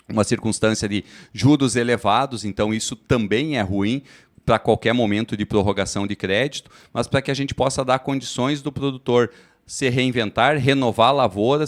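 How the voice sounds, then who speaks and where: A man speaks in a steady, formal way, explaining point by point. His pitch sits in an ordinary middle range, and he sounds like an executive answering questions in a studio interview. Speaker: cooperative credit executive. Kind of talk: live fair studio interview.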